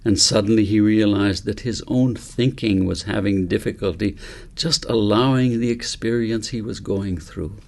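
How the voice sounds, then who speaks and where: Gravelly, low-voiced man reading a novel. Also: moderate pace, a little mellow. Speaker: author reading his novel. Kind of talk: scripted audiobook narration.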